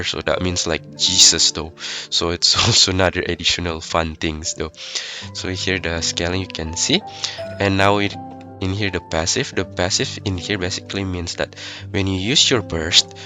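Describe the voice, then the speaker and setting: Young man, sick, deep voice, non-native English, casual game guide over loud music. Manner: explanatory, laughs now and then. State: sick, voice affected. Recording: music playing underneath.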